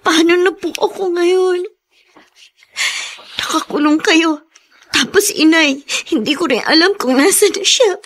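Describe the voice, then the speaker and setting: A woman's voice: extremely high pitch, a little slow, long pauses, a bit bright. A woman acting a distressed, abandoned daughter in a Filipino radio drama, plaintive and emotional but resolute. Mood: distraught, reproachful.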